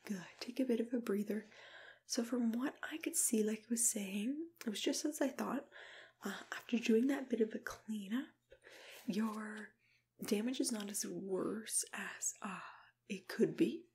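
A young adult woman in an ASMR roleplay as a dentist, speaking in a soft, breathy, mostly whispered voice with a gentle, reassuring manner. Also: mid-range voice, unhurried. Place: small plain room indoors.

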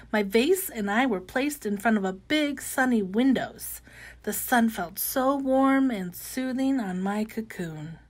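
A cheerful, wide-eyed little butterfly from a children's picture book, voiced by a woman. The voice sits fairly high and takes its time, gentle and expressive, with excited exclamations. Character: cheerful, wide-eyed young monarch caterpillar turned butterfly. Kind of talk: animated read-aloud of children's picture book.

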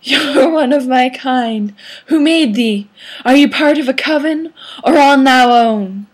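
A young woman voicing a melodramatic, anguished young male vampire who speaks loudly in archaic phrases, in a fan fiction reading. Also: high voice, slow, voice pushed up. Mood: intense. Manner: overwrought, loud, archaic phrasing.

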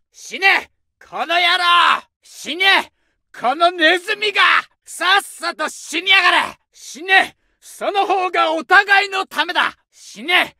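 A gruff young soldier, foul-mouthed and aggressive, shouting short orders in a high, bright voice. The recording is dry and close, with no room around it. Some lines come through a muffled radio. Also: male voice, no echo, heavily acted. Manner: shouted short battle commands. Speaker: game voice actor. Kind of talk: video game combat barks.

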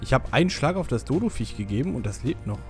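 A man chatting through a co-op survival game with a friend, joking around. He breaks into loud, excited shouts when something happens in the game. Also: mid-range voice, quick pace, soft background music. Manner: jokey, excitable outbursts while fighting. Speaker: gameplay commentator. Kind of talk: casual co-op gameplay chat.

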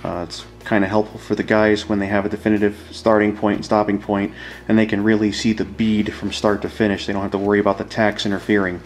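A man's voice: lowish voice, level tone, quick pace. A man explaining a hands-on demonstration in a casual, informal way, as an instructor talking to viewers.

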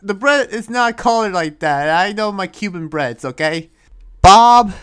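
A man's voice: high voice, animated, unhurried, fairly bright tone, clean tone. A man joking casually while gaming, in a playful, rambling way.

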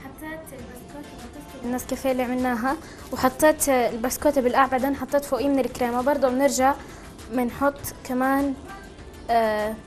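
A woman's voice: sits high, steady tone, fast, long pauses, soft background music. A woman casually presenting a recipe step by step on a show.

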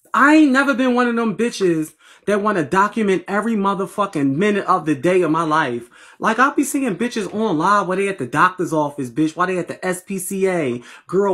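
Raspy Philly woman, sassy and blunt. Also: mid-range voice, a bit bright. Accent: Philadelphia. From Philadelphia. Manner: blunt, profane, sassy talk to viewers. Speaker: livestream host. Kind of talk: casual livestream chat. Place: bedroom at home, indoors. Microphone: phone at arm's length.

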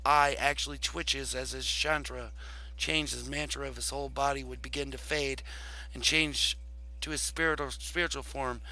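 A man narrating a text roleplay aloud as host, in a halting read-aloud delivery with casual asides. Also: medium pitch, steady tone, sharp tone, rough recording. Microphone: voice chat over computer mic.

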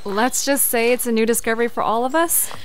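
Woman as a curious, high-voiced young explorer. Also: a little fast, slight background noise, close to an everyday voice, low-quality recording. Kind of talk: audio drama acting. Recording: music behind the voice.

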